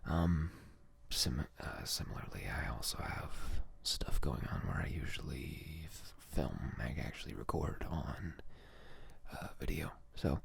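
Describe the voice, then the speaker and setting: A young man hosting an ASMR channel, softly whispering a poetry reading, hesitant and full of fillers. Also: a bass voice, expressive, unhurried, creaky, husky.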